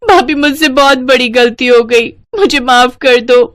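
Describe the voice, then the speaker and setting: A woman's voice: high voice, fairly even intonation, measured pace, fairly bright tone, dry recording, clean studio sound, pitched above the performer's own voice. A woman voicing a young, overworked newly married daughter-in-law in a cartoon story, earnest and easily fed up. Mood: tearful, contrite. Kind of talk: Hindi cartoon story dialogue.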